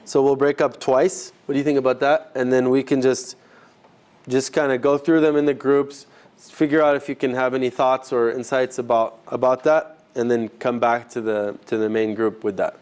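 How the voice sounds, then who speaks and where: Male ministry trainer, mid-pitched, informal classroom delivery, halting and conversational. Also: little pitch movement, a little mellow. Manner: conversational, halting, rephrasing as he goes. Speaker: ministry trainer teaching a group. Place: live session with a group present.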